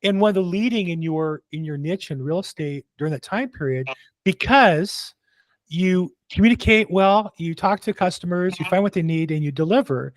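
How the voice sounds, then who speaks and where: A man's voice: sits high, normal speed, no room sound, rough recording. Chatty, joking interview host, a man. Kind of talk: casual interview.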